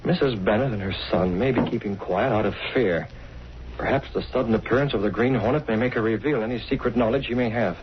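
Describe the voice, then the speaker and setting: An actor playing a firm, commanding newspaper boss and secret vigilante in a vintage radio drama, with a decisive, authoritative manner. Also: male voice, on the low side, fast, lightly acted. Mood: grave, resolute. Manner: decisive, authoritative, clipped orders. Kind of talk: 1930s-40s radio drama acting. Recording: dull, noisy old radio recording.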